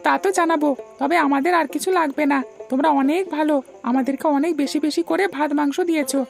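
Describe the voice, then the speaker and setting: A woman's voice: sits high, fairly even intonation, quick pace, gravelly, soft background music. A woman voicing an eager, grateful poor village child in a cartoon story. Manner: eager, thankful.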